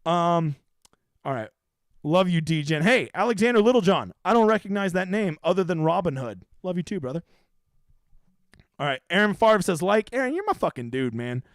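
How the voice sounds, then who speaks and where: A man's voice: sits high, sing-song, normal speed, dry recording, clean studio sound. Brash, foul-mouthed man hosting a livestream. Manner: profane, brash and rambling. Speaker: livestream host. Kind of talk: casual livestream chat answering viewer questions.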